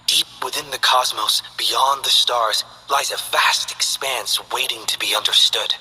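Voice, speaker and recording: An actor playing a calm, reflective male scholar who speaks in a measured, precise way in game character voice lines. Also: medium pitch, husky, full character voice, poor audio. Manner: measured, thoughtful, precise delivery.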